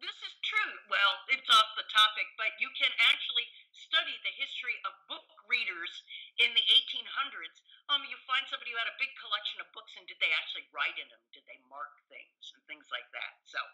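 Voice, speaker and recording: A woman's voice: a little high, sing-song, fairly quick, bright, forceful tone, low-quality recording. An older woman speaking as a guest professor in a podcast interview, enthusiastic and scholarly, often hesitating with ums.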